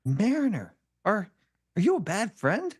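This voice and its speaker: A woman playing a disapproving, puzzled male officer in a questioning, concerned way at an animated sci-fi comedy table read. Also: medium pitch, measured pace, husky, exaggerated voice.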